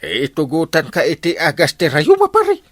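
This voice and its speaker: A man's voice: fairly high pitch, huge pitch swings, normal speed, fairly bright tone, no room sound, heavily acted. A man with an Ilocano accent playing a boisterous, stubborn and proud village captain in a radio comedy drama. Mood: coaxing.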